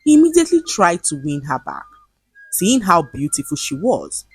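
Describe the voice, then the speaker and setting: A woman narrating a folktale from a script in an expressive, dramatic storytelling manner. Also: on the low side, moderate pace, breathy edge, soft background music.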